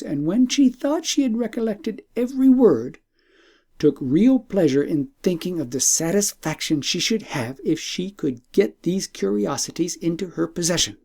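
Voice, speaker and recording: Male audiobook narrator for classic tales, relaxed warm tone, gravelly creak, scripted storybook reading. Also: a little high.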